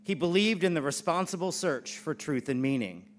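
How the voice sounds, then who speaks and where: A man's voice: a little high, expressive, normal speed, lightly acted. A man playing Thomas, an earnest, devout disciple of Jesus, speaking in a declamatory, preacherly way in a church dramatic reading. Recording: clear background noise and hum.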